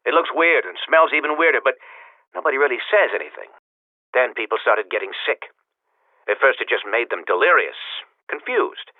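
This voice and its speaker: An actor playing an earnest, uneasy reporter in a video game, confiding in a colloquial way through an old telephone filter. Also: male voice, fairly high pitch, no room sound. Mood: puzzled. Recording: narrow, tinny telephone line.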